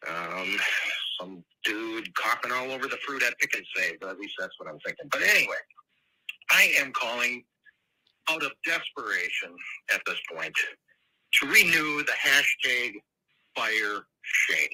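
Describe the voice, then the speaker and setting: A man's voice: medium pitch, lively intonation, unhurried, bright, forceful tone. A man from Milwaukee leaving a wry, mock-desperate voicemail while home sick. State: ill, recovering. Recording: tinny phone voicemail.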